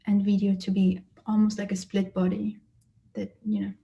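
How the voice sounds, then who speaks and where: A woman who grew up in Germany, an artist giving a lecture about her exhibition and speaking thoughtfully with frequent pauses and fillers. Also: medium pitch, little pitch movement, gravelly, mellow, muffled recording, a little hiss or hum. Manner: hesitant, many fillers and restarts, thoughtful. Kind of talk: lecture presentation. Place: lecture or online presentation.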